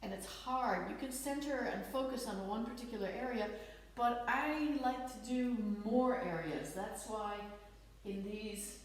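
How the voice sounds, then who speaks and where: A woman fitness instructor with a slight Dutch accent, leading a guided stretching class with gentle, encouraging cues. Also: a little high, a little slow, recorded from a distance. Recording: echoey room, voice off-mic.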